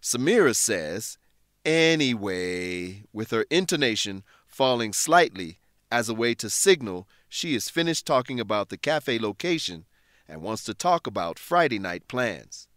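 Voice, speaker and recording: Animated male English-lesson narrator. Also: on the low side, no room sound, studio quality. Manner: clear, deliberate explaining. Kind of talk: scripted educational narration.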